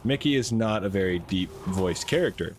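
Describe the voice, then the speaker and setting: A man with a naturally deep voice chatting casually while playing a game, rambling and laughing at himself. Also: expressive, normal speed, slight background noise. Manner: rambling, self-amused, laughs often. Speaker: game streamer. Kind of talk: casual gaming commentary.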